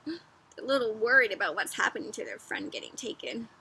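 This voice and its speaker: A woman doing an interactive storybook read-aloud for young children, animated and teacherly, often prompting her listeners with questions. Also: a little high, fairly quick, gravelly, husky, low-quality recording.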